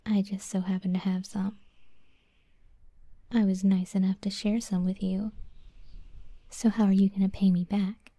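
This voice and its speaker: A very soft, dark-toned whisper, close and dry in the ear. A woman plays a cocky young scorpion monster girl in an ASMR roleplay, aloof and teasing, reluctant to show her kindness. She takes her time with every slangy line. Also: mid-range voice, level tone, measured pace, long pauses, no echo. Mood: teasing, demanding. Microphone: close, dry ASMR microphone.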